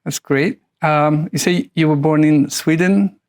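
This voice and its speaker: A man's voice: a little high, steady tone, measured pace, darkish tone. Hesitant middle-aged male interviewer. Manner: hesitant, searching phrasing. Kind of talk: conversational interview questioning.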